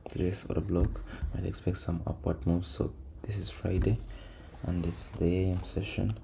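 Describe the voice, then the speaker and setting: Low, creaky-voiced man thinking aloud. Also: flat delivery, moderate pace. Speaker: trader recording a backtesting session. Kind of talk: casual screen-share commentary, thinking aloud. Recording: noisy room, keyboard and typing sounds.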